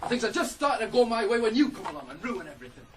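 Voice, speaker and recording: A blunt young farmhand, up and down voice, noisy old radio play. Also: male voice, sits high, big pitch swings, racing, fairly bright tone. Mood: furious. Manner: blunt, plain-spoken. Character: plain-spoken, hard-working young male farmhand. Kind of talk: 1980s radio drama acting.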